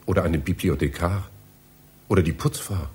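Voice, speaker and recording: A man's voice: low voice, brisk, darkish tone, a little hiss or hum, natural voice. An actor in a radio play playing a fussy, bewildered male library reader who talks in a theatrical, pedantic way. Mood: muttering, bewildered. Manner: theatrical, pedantic, muttering to himself.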